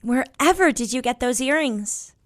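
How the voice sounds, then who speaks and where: Cheeky daughter in an audio play, highish voice, no room sound. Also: female voice, lively intonation, moderate pace, dry recording, clean studio sound. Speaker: actor. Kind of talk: audio drama acting.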